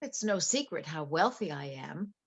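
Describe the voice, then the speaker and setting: A woman's voice: low voice, animated, moderate pace, breathy edge, full character voice. A woman playing a wealthy, anxious wife in a play reading, earnest, polite and flustered. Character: wealthy, anxious wife confiding fears about her husband.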